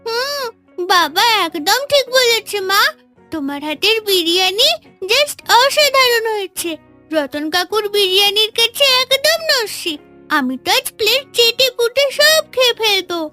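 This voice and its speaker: A woman's voice: very high voice, lively intonation, unhurried, sharp tone, faint music behind, heavily acted. A woman voicing an earnest, innocent little village girl in a fairy-tale cartoon, with a thin childlike voice. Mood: delighted.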